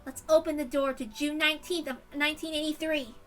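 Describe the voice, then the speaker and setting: A solemn girl played by a woman muses in a story reading. Her voice is high and somewhat quieter, with a reflective manner and music faintly behind it. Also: steady tone, brisk.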